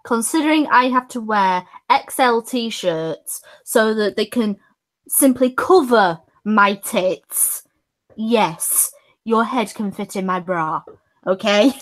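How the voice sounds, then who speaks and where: A woman's voice: medium pitch, slow. A woman hosting a casual stream chat, teasing her guests playfully and laughing often. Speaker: streamer host.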